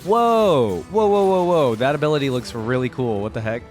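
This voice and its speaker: A man's voice: mid-range voice, animated, normal speed, darkish tone, soft background music. A man hosting a reaction video, talking casually in an informal, slangy, rambling way.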